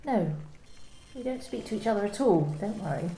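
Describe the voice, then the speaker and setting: A woman's voice: mid-range voice, lively intonation, moderate pace, dark tone, echoey room, slight background noise, natural voice. A woman playing a subdued, pressured young working mother in an amateur radio play reading. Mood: guarded. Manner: subdued, pleading. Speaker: employee and mother.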